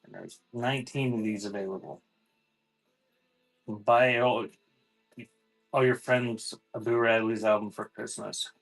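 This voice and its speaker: A man's voice: on the low side, fairly even intonation, unhurried, gravelly, pauses often, soft background music, low-quality recording. A man hosting a live online auction, announcing items in an informal, off-the-cuff sales patter. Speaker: host of a live online auction selling cassette tapes. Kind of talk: casual livestream sales patter.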